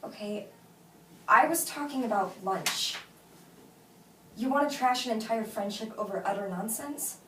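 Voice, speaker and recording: A woman's voice: on the high side, measured pace, long pauses, close to an everyday voice. Lady acting blunt exasperated woman, emphatic film drama dialogue, roomy echo, bit of noise. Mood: taken aback. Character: exasperated, blunt woman rejecting her lovestruck friend. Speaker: actress at a script table read. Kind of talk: naturalistic film drama dialogue read aloud. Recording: roomy echo, distant mic, faint noise.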